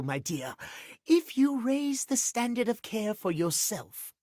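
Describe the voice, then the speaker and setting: A man voicing a fussy, pompous pocket watch in a video game, speaking in prim, formal, old-fashioned phrases and quick to take offence. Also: sits high, no room sound, studio quality, exaggerated voice. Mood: prim, lecturing. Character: fussy, pompous, lovestruck-proper pocket watch gentleman, easily outraged. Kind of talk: video game character acting.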